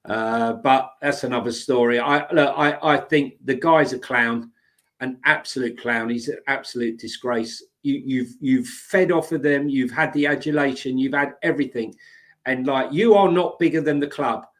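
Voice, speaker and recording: A man's voice: mid-range voice, normal speed. A man co-hosting a football podcast, blunt and opinionated, often repeating his words. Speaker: podcast co-host. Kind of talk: casual football chat.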